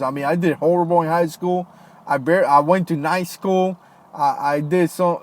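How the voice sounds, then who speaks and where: Ex-marine guy chatting on a livestream, kinda high voice, loose and friendly, says man and dude a lot. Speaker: livestream host, retired Marine. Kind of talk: casual livestream chat reading comments. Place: home, Florida.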